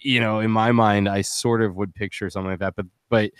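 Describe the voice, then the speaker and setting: A man's voice: fairly low pitch, level tone, fairly quick, smooth, no echo, clean studio sound. A man on a live stream panel, chatting casually in a slangy, joking, teasing way with plenty of swearing. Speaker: live stream panelist discussing an audio drama.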